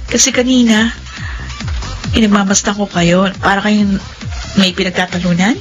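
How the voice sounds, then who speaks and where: A woman's voice: medium pitch, normal speed, lightly acted. An actress playing a teasing, inquisitive young woman friend in a Filipino audio drama. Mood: polite, probing. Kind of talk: Filipino radio-style drama acting. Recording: dull lo-fi audio with background music.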